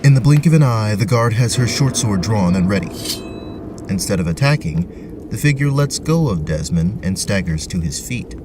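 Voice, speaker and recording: A man narrating a scripted tabletop fantasy adventure in a deliberate, theatrical way. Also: fairly low pitch, animated, noisy background. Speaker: narrator and game host.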